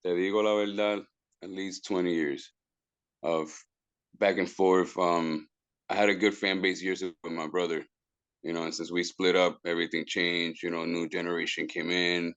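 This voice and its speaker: A man's voice: deep voice, flat delivery, moderate pace, a bit bright, clear, resonant tone, no room sound, highs cut off. A man, a guest musician who talks casually, stumbles over repeated words and drops into Spanish phrases. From Puerto Rico. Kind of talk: casual interview talk.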